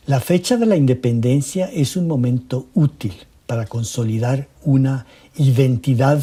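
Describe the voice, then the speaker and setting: Guy doing documentary narration, really dark husky voice, formal and measured. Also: mid-range voice. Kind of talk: scripted narration.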